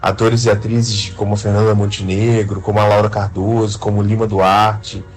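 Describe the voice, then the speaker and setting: A man with a Brazilian accent explaining his field in an interview, haltingly, often adding little tag questions. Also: fairly low pitch, flat delivery, soft background music. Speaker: interviewed radio drama creator.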